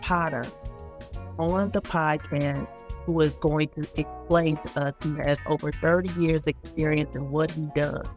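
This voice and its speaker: A woman's voice: low-pitched, steady tone, unhurried, soft background music. A woman hosting a podcast, introducing and questioning a guest in a conversational way. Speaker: podcast host.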